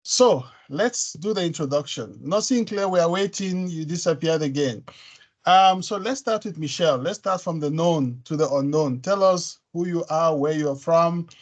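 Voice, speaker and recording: A man's voice: a little high, normal speed. A man lecturer running an online class introduction, asking teasing, jokey questions. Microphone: video call.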